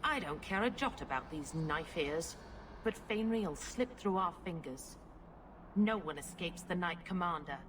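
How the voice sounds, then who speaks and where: An actress playing a hard, contemptuous templar knight, a menacing zealot in a video game. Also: female voice, on the low side, expressive, measured pace, noisy background. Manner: hard, threatening. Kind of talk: dramatic game dialogue. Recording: music behind the voice.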